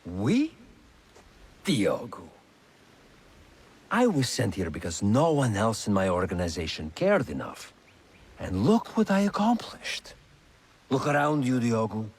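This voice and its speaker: A man's voice: on the low side, wildly swooping pitch, a little slow, pauses often, husky, exaggerated voice. A man voicing an arrogant, ruthless slaver villain in a video game, pompous and taunting in manner. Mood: smug menace. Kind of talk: dramatic video game acting.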